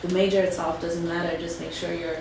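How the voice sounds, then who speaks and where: Chatty woman giving career tips, slightly low voice, noisy hall sound. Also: flat delivery, brisk, vocal fry. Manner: chatty, many fillers. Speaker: alumna panelist. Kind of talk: casual career advice on a panel. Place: lecture room. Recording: echoey room, distant mic, background noise.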